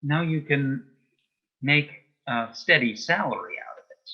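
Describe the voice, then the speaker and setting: A man speaking as an interview guest in casual chat, telling jokes and anecdotes in a dry, measured way. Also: fairly high pitch, dull audio.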